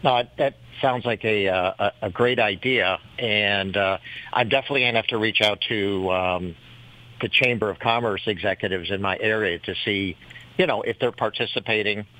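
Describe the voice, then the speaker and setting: A county legislator answers politely, and his lower voice has a bright, forceful edge. He agrees readily and often pauses on fillers. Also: low-quality recording. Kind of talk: guest conversation.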